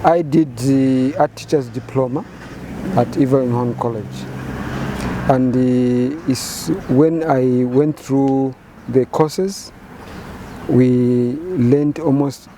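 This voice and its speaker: A man in his fifties with a Zambian accent, answering interview questions in measured, halting phrases with many repeated words. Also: medium pitch, fairly even intonation, background noise. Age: 50s. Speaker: painter and photographer interviewee.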